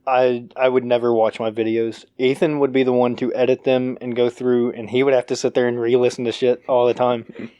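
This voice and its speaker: A man's voice: medium pitch, level tone, fairly quick, warm tone, clean tone, dry recording, highs cut off. A young man co-hosting a podcast in casual chat, rambling with lots of fillers and restarts. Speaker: podcast co-host.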